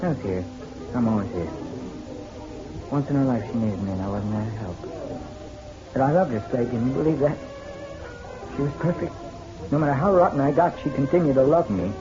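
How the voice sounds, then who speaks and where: A man's voice: medium pitch, a little fast, long pauses, lightly acted. A man playing a bitter, self-pitying drunk and grieving husband in a detective radio drama, world-weary and sardonic. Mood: self-pitying, regretful. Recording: muffled radio recording, music underneath.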